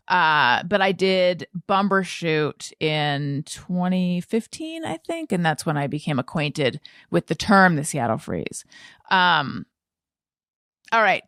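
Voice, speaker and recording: A woman podcast host in casual conversation, with a very animated pitch that moves up and down. The sound is close and dry, and she talks informally, often working out her thought as she speaks. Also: no room sound.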